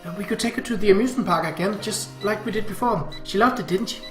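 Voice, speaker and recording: A man voicing a gentle, anxious, devoted mother in an audiobook, tender and hesitant. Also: sits high, fast, echoey room, soft background music, pitched above the performer's own voice. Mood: pleading.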